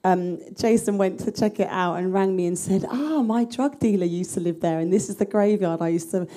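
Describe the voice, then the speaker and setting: Earnest panelist woman, mellow tone, handheld mic, large room. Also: mid-range voice, moderate pace. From England. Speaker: panelist, church planter. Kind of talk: personal introduction on a conference panel.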